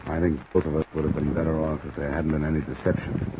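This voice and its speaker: An actor playing a cool, probing insurance investigator in a 1950s-style radio detective drama, speaking tersely, on an old recording. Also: male voice, rumbling low, little pitch movement, quick pace, creaky. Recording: old radio recording with loud rain noise.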